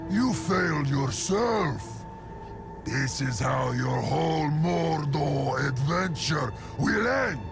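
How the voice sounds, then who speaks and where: A cold, taunting game villain, with a slightly high, creaky voice. He speaks slowly and menacingly, as if passing judgement. Loud music plays underneath. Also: lively intonation, full character voice. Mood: cold accusing. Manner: slow, deliberate, menacing.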